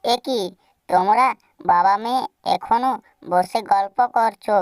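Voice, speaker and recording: A woman voicing a caring, indulgent mother bird in a family cartoon, with a gentle manner. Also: medium pitch, measured pace, recorded from a distance, soft background music, lightly acted. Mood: busy, motherly. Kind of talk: scripted cartoon voice acting.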